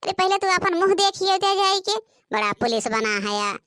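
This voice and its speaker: A woman voicing a sharp-tongued, scolding village character in a comic cartoon, with an Awadhi accent. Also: extremely high pitch, sing-song, normal speed, dry recording, studio quality, heavily acted. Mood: scornful. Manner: scolding, argumentative. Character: sharp-tongued, defiant village household members, old woman and boy.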